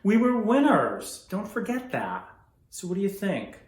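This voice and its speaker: A man's voice: high-pitched, wildly swooping pitch, unhurried, voice pushed up, full character voice. A man playing a proud, driven car engineer, earnest and emphatic in a theatrical monologue. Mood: proud, urging. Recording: echoey room.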